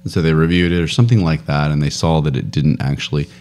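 A man in his thirties with a very deep voice, chatting casually as a podcast co-host. He talks into a close studio boom mic in a home room, rambling through stories and laughing often. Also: fast, darkish tone. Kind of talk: casual chat. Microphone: boom studio mic with headphones.

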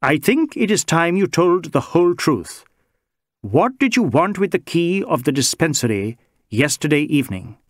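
A man voicing a stern male police inspector who presses questions sharply and deliberately. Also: mid-range voice, big pitch swings, no room sound, studio quality, natural voice.

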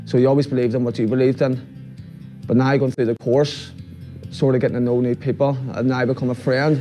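A young man with a Belfast accent gives a personal testimonial. His mid-pitched voice moves very little, and guitar music plays clearly behind it. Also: mellow. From South Belfast. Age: young adult. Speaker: programme participant. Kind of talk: promotional video testimonial.

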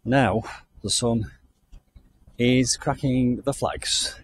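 A man's voice: mid-range voice, fairly even intonation, extremely slow, a little hiss or hum. A man from the UK giving a chatty, enthusiastic walking tour of a country garden outdoors, recorded on the camera he carries. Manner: chatty, enthusiastic, thinking aloud. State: hot and overdressed while walking. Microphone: handheld camera mic, walking.

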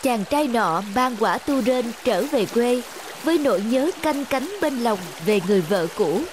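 A woman's voice: fairly high pitch, animated, normal speed, noisy background. A woman with a Southern Vietnamese accent narrating a scripted folk tale. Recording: music behind the voice.

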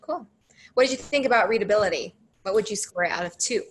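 A young woman talks through a book summary on a video call, relaxed and loose with frequent fillers. Her voice sits at a typical middle pitch for a woman. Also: fast talker. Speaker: book review co-host. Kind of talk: casual video-call chat. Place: room at home. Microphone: video call laptop.

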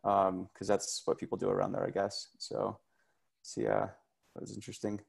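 A man's voice: deep voice, flat delivery, moderate pace, gravelly, breathy edge. A man in casual video call discussion, rambling with many fillers. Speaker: meetup participant.

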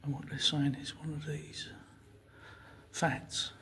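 A man's voice: a little high, level tone, slow pace, bright, forceful tone. A man giving casual, unscripted travel commentary as a vlogger, recorded on location while sightseeing. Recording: noisy handheld recording with street ambience.

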